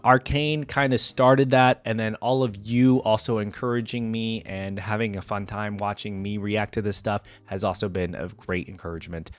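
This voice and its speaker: A man with an average-pitched voice gives casual commentary as the host of a reaction video. He addresses viewers directly in a conversational, rambling way, working out his thoughts as he goes. The sound is dry, with very little room in it. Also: no room sound. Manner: conversational, rambling, thinking aloud. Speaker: YouTube reaction creator and host.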